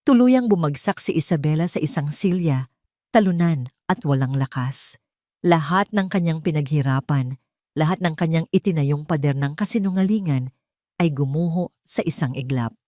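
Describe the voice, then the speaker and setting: A Filipino woman reads a scripted romantic drama as narrator. Her voice sits fairly low and moves with lively, expressive swings. The sound is close and dry. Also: moderate pace, no room sound. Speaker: storyteller, narrator. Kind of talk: scripted dramatic narration of a Tagalog love story.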